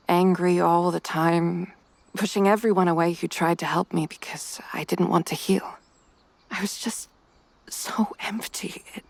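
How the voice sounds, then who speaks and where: A reserved, refined mother, acted by a woman in a motion comic. Her voice is fairly low and closely recorded, and her wording is formal and measured. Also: creaky, no echo. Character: reserved, refined mother carrying quiet guilt.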